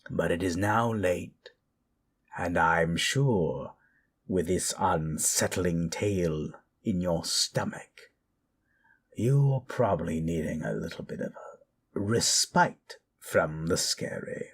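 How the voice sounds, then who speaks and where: A man playing a gentle, brooding teller of spooky tales in a slow, hushed, dramatic manner over music. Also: low-pitched, breathy edge, heavily acted. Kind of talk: in-character horror storytelling.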